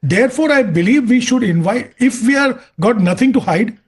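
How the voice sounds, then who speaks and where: An Indian-accented man comments as an expert on a news panel. His voice is strikingly high for a man, and he argues each point with emphasis. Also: lively intonation, normal speed, breathy edge. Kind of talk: TV debate commentary.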